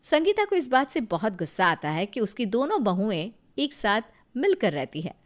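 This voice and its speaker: A woman's voice: a little high, huge pitch swings, normal speed. A woman narrating a scripted moral story as its storyteller.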